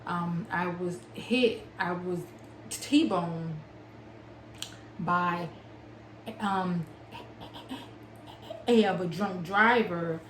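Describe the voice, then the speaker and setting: A young adult woman talking to her viewers, stuttering with long pauses and blocks and smacking her lips between words. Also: fairly low pitch, roomy sound, a little hiss or hum, poor audio. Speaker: small business owner and author. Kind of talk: personal talk to camera.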